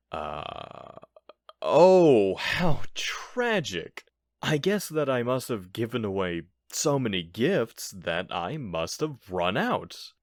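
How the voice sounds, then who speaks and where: A man's voice: low voice, dry recording, studio quality, full character voice. A man playing a nervous, bumbling burglar in an audio roleplay, stammering and bluffing with theatrical emphasis and indignation. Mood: mock offended. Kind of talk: ASMR audio roleplay acting.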